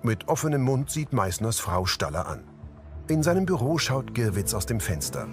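A man's voice: mid-range voice, big pitch swings, moderate pace. A man reading scripted audio description of the action in a drama. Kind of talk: scripted narration of screen action. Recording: music behind the voice.

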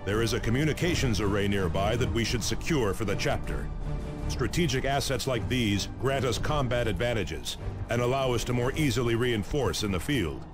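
A man playing a steady space marine sergeant in a video game, formal and clipped. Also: medium pitch, normal speed, lightly acted. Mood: composed, businesslike. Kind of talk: video game character acting. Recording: loud music and battle behind.

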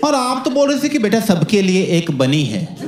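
A young man performing a stand-up comedy set into a handheld mic, telling stories in a playful, animated way. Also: sits high, normal speed. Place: comedy stage with live audience. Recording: roomy club sound with loud crowd laughter.

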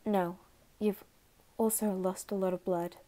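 A woman voicing a flustered, guarded but caring teenage anime boy in an ASMR roleplay, halting and stammering, sometimes whispering. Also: mid-range voice, steady tone, dark tone, lightly acted. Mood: concerned. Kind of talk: ASMR roleplay, soft scripted acting.

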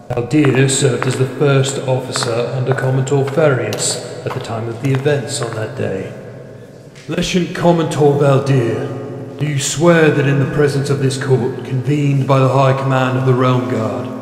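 A man acts out a stern military judge in a science fiction audio drama. His medium-pitched voice is formal and commanding as he presides, echoing with long reverb in a large chamber. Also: a little slow, a little mellow, slight background noise, close to an everyday voice. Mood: formal, grave. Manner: formal, authoritative, interrogating. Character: stern, imperious male military marshal presiding over a tribunal. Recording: echoey hall reverb.

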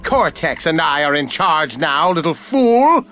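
An actor playing a boastful, insecure inventor villain in a cartoon game, whiny and petulant. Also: male voice, fairly high pitch, very animated, unhurried, very noisy. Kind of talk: animated video game cutscene acting.

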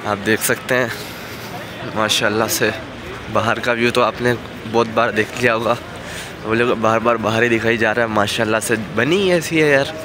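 A man's voice: mid-range voice, steady tone, normal speed, crowd noise. A young man filming a casual travel vlog on a phone held at arm's length, walking around a mosque and repeating words of awe. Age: young adult. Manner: warm and awed, repeating blessings. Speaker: travel vlogger. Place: outdoors at a large mosque, then inside its hall. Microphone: handheld phone camera at arm's length.

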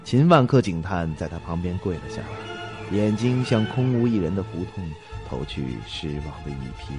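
Male suspense narrator, expressive storyteller, lowish and very animated. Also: moderate pace, music in the background. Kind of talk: dramatic scripted narration.

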